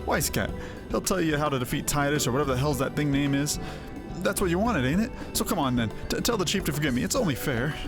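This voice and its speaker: A man's voice: a little high, expressive, quick pace. A man voicing a cowardly, boastful mercenary axeman in a fantasy game, with a stammering, pleading manner. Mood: wheedling. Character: cowardly boastful hard-drinking axeman, a bumbling male mercenary. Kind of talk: voiced fantasy game dialogue. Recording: music under the voice.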